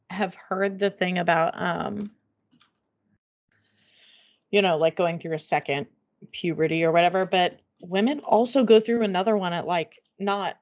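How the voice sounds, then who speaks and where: A woman in her twenties co-hosting a podcast with a low voice, rambling in casual chat and often restarting her thoughts. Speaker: podcast co-host. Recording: dull lo-fi sound.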